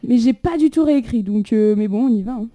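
Young french woman chatting, very dark mellow voice, dry close sound, lots of ums, phone on a table. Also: on the high side, fast, dry recording. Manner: chatty, laughs often, many fillers. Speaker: poet, host of the reading. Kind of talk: casual chat and poetry reading. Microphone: phone propped on a water carafe.

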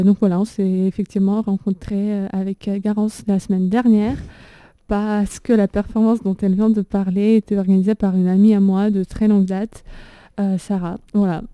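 A young actress chatting hesitantly, with many ums, recorded on a propped phone in a small room. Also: female voice, mid-range voice, mellow, clean tone, no room sound. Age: young adult. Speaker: actress and poet. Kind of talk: casual chat.

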